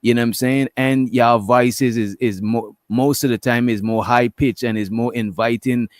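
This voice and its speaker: A man with a raspy voice, talking in a laid-back, halting way on a panel. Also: medium pitch, fairly even intonation, no echo. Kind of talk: casual panel chat.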